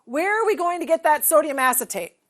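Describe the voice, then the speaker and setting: Woman, high voice, energetic teacher, quizzing the group, large training room. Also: a little fast. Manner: energetic, questioning the room, checks with "okay?". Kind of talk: classroom demonstration.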